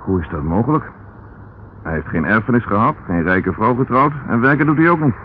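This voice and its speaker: Tinny old radio actor's determined police inspector. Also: male voice, on the low side, lively intonation, brisk, close to an everyday voice. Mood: insistent, probing. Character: determined male Scotland Yard police inspector, brisk and persistent. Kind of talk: Dutch radio detective drama acting. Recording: tinny, band-limited, noisy old radio recording.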